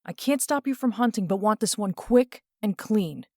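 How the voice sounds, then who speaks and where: A woman's voice: medium pitch, animated, moderate pace, a little mellow, dry recording, clean studio sound. A woman playing a curt, officious angelic boss with a clipped, sardonic manner in a comic dub. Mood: weary, grudging. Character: curt, officious female heavenly bureaucrat boss.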